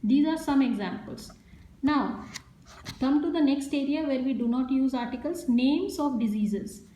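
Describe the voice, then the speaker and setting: A woman's voice: high-pitched, mellow. A woman with an Indian English accent teaching a grammar lesson, explaining step by step and repeating each example sentence. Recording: echoey room sound.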